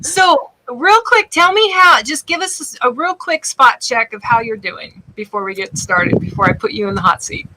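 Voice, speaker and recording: A woman hosting a casual interview show from her bed on a laptop, excitable and gushing, often stumbling over restarts. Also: fairly high pitch, moderate pace, hardly any pauses, low-quality recording. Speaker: talk show host. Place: bedroom.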